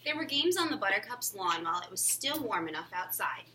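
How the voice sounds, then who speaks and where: A woman's voice: medium pitch, big pitch swings, a little fast, pushed and bright. A woman reading a children's story aloud as narrator. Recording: distant microphone, faint background noise.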